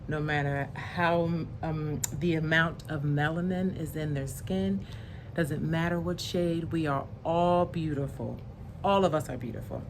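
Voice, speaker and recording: Slow-paced woman reading to children. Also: low-pitched, steady tone, background noise. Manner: slow, deliberate, explains pictures in simple words. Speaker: storyteller reading a picture book aloud to children. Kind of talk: expressive read-aloud with asides to young children.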